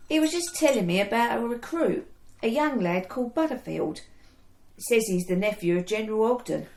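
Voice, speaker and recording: A woman's voice: on the high side, animated, normal speed, a little mellow. An actress playing a loving, anxious young woman on the home front in a wartime radio play. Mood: welcoming. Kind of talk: period radio drama acting.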